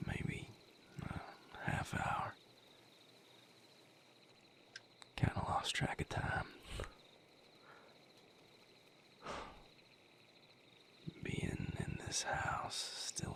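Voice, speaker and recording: A man playing a tender, weary vampire mate in an intimate audio roleplay, speaking softly and haltingly in a breathy, gravelly near-whisper. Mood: wistful, calm. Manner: unhurried, halting, soft-spoken with long pauses.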